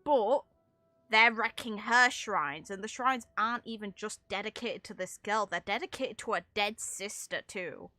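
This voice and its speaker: A woman's voice: medium pitch, unhurried, pushed and bright, no room sound, faint music behind. A woman talking casually and analytically through game lore, with opinionated, rambling asides. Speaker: content creator commentating on a game.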